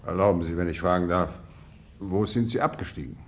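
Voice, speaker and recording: An actor playing a self-important, excitable middle-aged Russian general in a 1950s radio drama, formal and abrupt in his speech. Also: deep voice, brisk, natural voice. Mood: wary, stiff.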